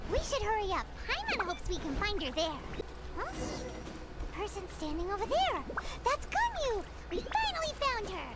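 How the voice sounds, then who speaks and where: A woman voicing a small, childlike, excitable fairy companion with a squeaky voice in an anime video game. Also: normal speed, heavy background noise. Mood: excited. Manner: refers to self in third person, eager.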